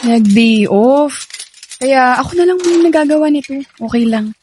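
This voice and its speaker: A woman's voice: high-pitched, expressive, normal speed, a little mellow, a little hiss or hum. A Filipino woman playing a hurt, defiant young wife in a radio drama, emotional in delivery. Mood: light, polite. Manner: emotional, bitter, confrontational. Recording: music behind the voice.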